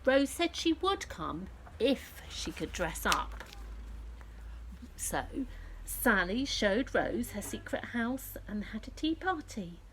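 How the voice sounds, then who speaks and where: Woman, children's storyteller, very lively intonation, unhurried delivery, hushed breathy moments, faint background noise. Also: a little high, low-quality recording. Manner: addresses young children directly, unhurried storytelling. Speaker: children's story reader and presenter. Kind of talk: expressive picture-book read-aloud for young children.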